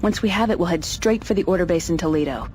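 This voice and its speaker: An actress playing an earnest, spirited, brisk female military officer in a sci-fi game cutscene. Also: on the low side, darkish tone, faint music behind, lightly acted. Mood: brisk, encouraging. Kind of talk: scripted game cutscene acting.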